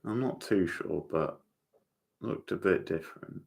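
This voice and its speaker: A man's voice: deep voice, measured pace, gravelly, darkish tone. A man giving casual, off-the-cuff watchalong commentary as host of a reaction channel. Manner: off-the-cuff, sporadic remarks.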